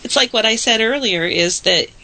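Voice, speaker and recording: Bright-voiced woman losing her voice. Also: on the high side, animated, moderate pace, a little hiss or hum. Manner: laughs often, emphatic agreement. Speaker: podcast co-host and writer. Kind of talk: casual chat.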